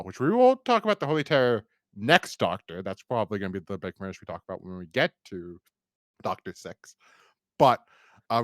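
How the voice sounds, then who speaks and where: American man, lowish voice, podcast host, casual fan discussion, enthusiastic, very dry sound. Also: no echo. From United States.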